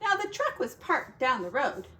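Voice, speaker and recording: A woman teacher reading a children's story aloud in an expressive storytelling style. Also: high voice, moderate pace.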